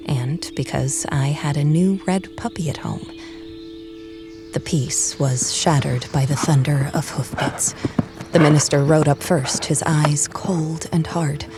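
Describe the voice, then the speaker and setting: Very low-voiced woman narrating a dark story. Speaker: audiobook narrator. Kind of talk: first-person dramatic story narration.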